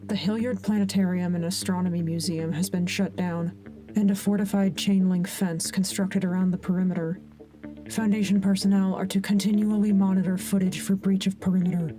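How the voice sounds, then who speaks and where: A woman's voice: medium pitch, steady tone, brisk, mellow, soft background music, natural voice, low-quality recording. An actress playing a sober female researcher in an audio drama, formally reading out a clinical case file.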